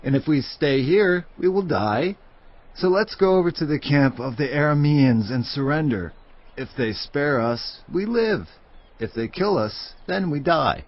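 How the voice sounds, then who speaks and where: A desperate, earnest outcast with leprosy speaks urgently in a fairly high, animated voice. It is dramatised audio Bible acting on a slightly muffled recording. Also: male voice, unhurried. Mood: desperate resolve.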